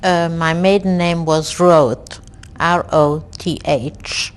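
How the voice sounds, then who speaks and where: Unhurried low-voiced woman in her sixties. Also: steady tone, smooth. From Belgium. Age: 60s. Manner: slow, reflective storytelling with frequent hesitations. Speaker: interviewee. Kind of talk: oral history interview. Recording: archival 1990s interview tape.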